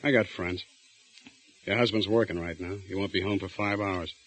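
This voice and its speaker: A man's voice: low voice, fairly even intonation, normal speed, fairly bright tone, a little hiss or hum, rough recording. An actor playing a menacing escaped male convict in a 1940s radio drama, cold and insinuating. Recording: old 1940s radio broadcast with music.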